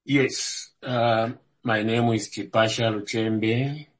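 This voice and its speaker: An older man from Zambia and South Africa introducing himself as a candidate at a public meeting, speaking in a measured way with frequent hesitations. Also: on the low side, fairly even intonation. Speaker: board candidate.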